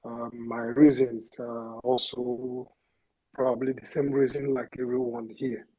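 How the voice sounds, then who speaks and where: Hesitant Igbo man, slow and dark. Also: medium pitch, very animated, vocal fry, rough recording. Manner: hesitant, many fillers. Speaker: caller. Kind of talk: call-in talk on an online audio space.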